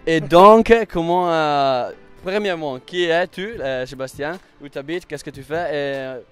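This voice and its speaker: A man's voice: on the high side, measured pace, a bit bright, soft background music. Upbeat jokey male interviewer outdoors. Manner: upbeat, jokey, many fillers. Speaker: interviewer, channel host. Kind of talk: casual street interview.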